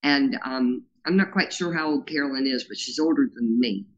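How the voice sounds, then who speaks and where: A woman's voice: rumbling low, normal speed, highs cut off. Earnest woman with a powerful voice. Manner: earnest, animated, rambling with many fillers. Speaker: guest, grassroots organizer. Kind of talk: casual interview talk.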